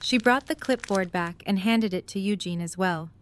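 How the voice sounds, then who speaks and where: A woman's voice: medium pitch, moderate pace, a little hiss or hum. A woman narrating a scripted story as the narrator of an audio drama.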